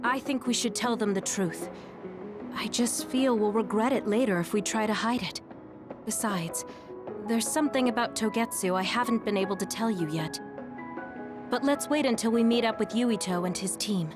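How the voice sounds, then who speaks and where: A woman playing a gentle, sincere, conscientious young woman in an English video game dub. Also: fairly high pitch, normal speed, music in the background, lightly acted. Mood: earnest, hesitant.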